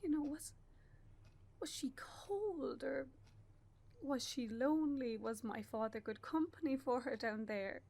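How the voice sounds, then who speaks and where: Actress as higher-voiced grieving young Irish mother. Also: gravelly, darkish tone, full character voice. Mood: sorrowful reminiscing. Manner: halting, with pauses and repeated phrases. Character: grieving young bereaved mother, anguished and stubborn. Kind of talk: naturalistic radio play acting.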